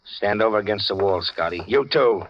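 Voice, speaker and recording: A man's voice: on the low side, lively intonation, moderate pace, a little hiss or hum. An actor playing a menacing, mocking gangster killer in a 1940s radio crime drama, with slangy tough-guy talk. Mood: cold menace. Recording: dull vintage radio recording.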